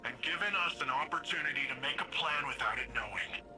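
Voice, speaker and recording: An eager young sidekick from a sci-fi game, with a highish and very bright voice. He is curious and a little wry, talking casually and haltingly, with faint music behind him. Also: rough recording. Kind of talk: dramatic video game dialogue.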